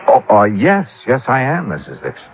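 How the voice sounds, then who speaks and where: An American actor playing a cool, wry insurance investigator in a 1950s-style radio detective drama, brisk and hard-boiled. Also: male voice, medium pitch, huge pitch swings. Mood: polite, hesitant. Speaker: lead actor and narrator of a detective serial. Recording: dull vintage radio recording with music.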